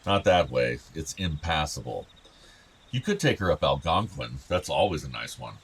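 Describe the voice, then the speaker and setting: A man's voice: very deep voice, big pitch swings, normal speed, a little hiss or hum, exaggerated voice. A male actor playing a fond, outdoorsy middle-aged father of two grown sons in a naturalistic radio play. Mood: warm, reminiscing. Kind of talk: naturalistic radio drama dialogue.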